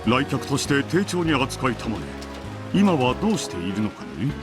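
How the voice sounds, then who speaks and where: An actor playing a dignified middle-aged master of a wealthy household in a visual novel, speaking formally and with authority. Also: male voice, medium pitch, sing-song, fast, close to an everyday voice. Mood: composed authority. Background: game music.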